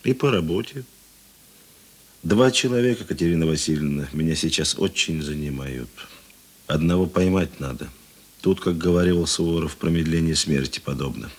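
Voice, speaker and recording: A man acting a middle-aged, thoughtful and kind police investigator in a Russian radio play, husky and measured. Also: a bass voice. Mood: earnest, thoughtful. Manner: measured, self-deprecating, reflective.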